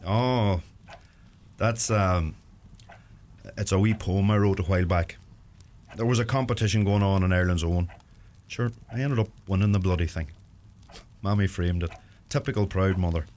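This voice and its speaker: An actor playing a gentle, thoughtful young farmer with a Donegal Irish accent in a radio play. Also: male voice, deep voice, moderate pace, pauses often, lightly acted. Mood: fond, modest. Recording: music under the voice.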